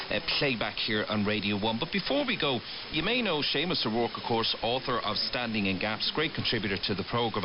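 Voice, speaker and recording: A man with an Irish accent hosting a radio programme in a conversational style. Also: medium pitch. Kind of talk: conversational radio sign-off and introduction. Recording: hissy, muffled shortwave radio reception. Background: clear radio hiss.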